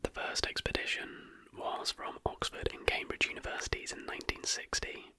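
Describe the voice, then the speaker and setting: Whispered ASMR narrator, bright and breathy. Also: normal speed, dry recording. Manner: soft whispering throughout. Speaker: host.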